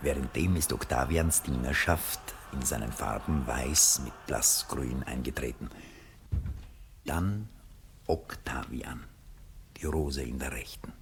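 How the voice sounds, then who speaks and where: An actor serving as the calm narrator of a 1960s radio drama, reading scripted stage directions. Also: male voice, very deep voice, takes it slowly, heavily acted. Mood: hushed narration. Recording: 1960s radio recording, faint hiss.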